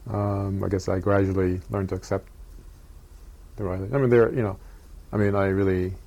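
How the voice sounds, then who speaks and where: An older man from Japan, recalling his childhood in an oral history interview, talking haltingly with many pauses and fillers. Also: low voice, flat delivery, creaky, mellow, highs cut off.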